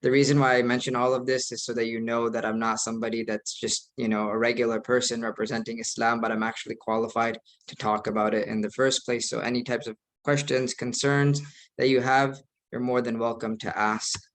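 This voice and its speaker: A young man, an imam raised in the United States, giving an earnest, measured presentation to an online group. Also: mid-range voice, flat delivery, a bit bright. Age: young adult.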